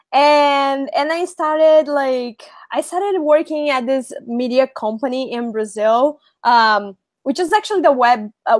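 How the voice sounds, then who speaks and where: Slow-talking high-voiced woman guest. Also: no echo. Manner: slow, chatty, thoughtful. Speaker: guest, product manager. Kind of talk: interview answers.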